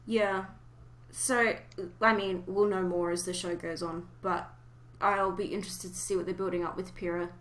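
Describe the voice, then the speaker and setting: A woman's voice: fairly low pitch, fairly even intonation, moderate pace, a bit bright. Woman podcast host in an echoey room. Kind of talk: casual fan discussion of an animated series.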